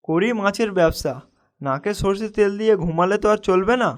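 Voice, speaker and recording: A man's voice: high-pitched, normal speed, lightly acted. A man voicing a humble, hardworking young village fisherman in a cartoon, speaking in an earnest, modest way. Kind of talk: cartoon dialogue acting.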